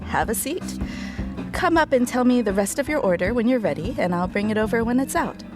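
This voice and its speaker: A woman plays a friendly, brisk server at a space diner in an audio drama. The voice is high and swoops animatedly, informal and chatty, with music behind. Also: expressive, lightly acted. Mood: helpful.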